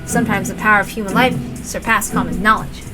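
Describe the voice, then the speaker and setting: A woman's voice: mid-range voice, steady tone, fast talker, over loud music. A woman reading visual novel narration aloud in a dramatic storytelling style.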